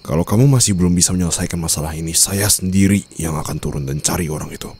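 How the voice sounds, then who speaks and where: A man playing a cold, domineering mafia boss and teasing new husband in an ASMR roleplay, speaking in a deliberate, menacing way. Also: deep voice, darkish tone, husky. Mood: cold, threatening. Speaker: roleplay voice performer.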